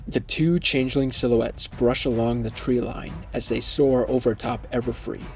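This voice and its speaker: A man narrating a scripted fantasy story in a measured, descriptive way. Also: medium pitch.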